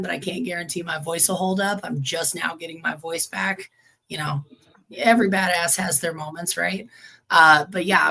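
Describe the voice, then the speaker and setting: A woman wrestler, hoarse from losing her voice, talking brashly and boastfully as a podcast guest. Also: lowish voice, pushed and bright, rough recording. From Texas. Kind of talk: casual interview chat.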